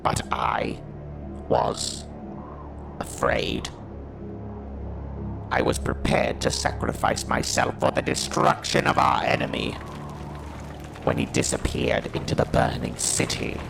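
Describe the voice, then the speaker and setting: A proud, wounded alien war machine narrates its audio drama through harsh robotic modulation. The pitch swings wildly between low growl and piercing peaks, heavily creaky and slow, with music underneath. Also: male voice, wildly swooping pitch, exaggerated voice. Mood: grim, haunted. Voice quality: harsh, grating, electronic. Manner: halting, staccato, declamatory.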